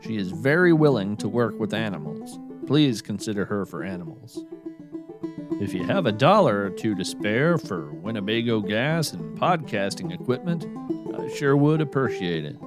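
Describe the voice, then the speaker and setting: Guy podcast host reading a script, measured n deadpan, plucky string music behind him. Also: on the low side. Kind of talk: scripted narration.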